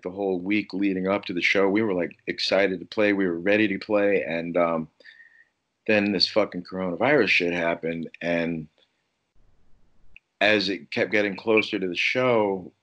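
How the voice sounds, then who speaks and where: A man's voice: deep voice, a bit bright, muffled recording. A man, a musician talking casually in an interview, rambling with many restarts and lots of swearing. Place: at home during lockdown.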